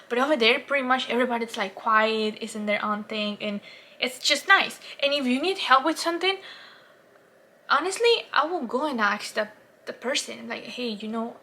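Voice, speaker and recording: A woman's voice: a little high, pushed and bright. A woman from Colombia with a Colombian Spanish accent, Spanish as her first language, chatting casually in a rambling vlog with frequent laughs and restarts. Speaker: vlogger.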